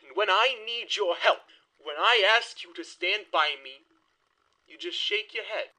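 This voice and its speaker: High, animated, aggrieved bootlegger man. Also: measured pace, exaggerated voice, poor audio. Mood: bitter. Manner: sarcastic, accusing. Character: aggrieved, hot-tempered 1920s male bootlegger, bitter and sarcastic. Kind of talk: audio horror drama acting.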